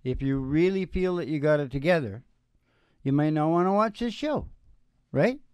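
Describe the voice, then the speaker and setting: A man's voice: fairly high pitch, lively intonation, normal speed, darkish tone, clear, resonant tone, no echo. A man in his sixties hosting a show from a stage, giving rambling, folksy, laid-back banter that drifts off into asides. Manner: meandering, laid-back storyteller with trailing-off asides. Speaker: musician and show host. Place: small indoor stage with curtain. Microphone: stand microphone, close. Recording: live music behind the voice. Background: acoustic guitar picking.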